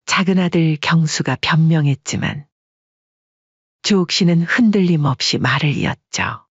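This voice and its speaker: Husky male audiobook narrator. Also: on the high side, moderate pace, dry recording. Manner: steady storytelling. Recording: dry, dull lo-fi recording.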